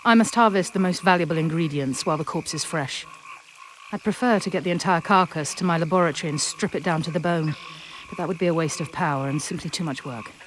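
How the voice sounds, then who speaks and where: An actress as a low-voiced, aloof sorceress. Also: female voice, fast, slight background noise, natural voice. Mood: cool, businesslike. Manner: formal, aloof.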